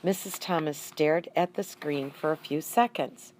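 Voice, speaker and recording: A woman reading a children's story aloud in an expressive, deliberate narrating style. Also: deep voice, slight background noise, low-quality recording. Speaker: audiobook reader.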